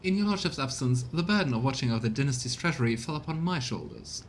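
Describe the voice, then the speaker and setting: A man's voice: mid-range voice, big pitch swings, quick pace, slight background noise. A man voicing a prim, formal retainer in a video game, wordy and deferential in manner. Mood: officious, formal. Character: prim, formal retainer reporting to his lord.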